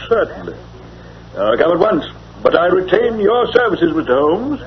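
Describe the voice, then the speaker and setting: A muffled old radio recording of a proper English gentleman, easily astonished. His voice sits fairly high and rises and falls animatedly as he speaks in earnest, formal British tones. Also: big pitch swings, normal speed. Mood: puzzled, earnest. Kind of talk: mid-century radio drama acting. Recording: dull vintage radio recording.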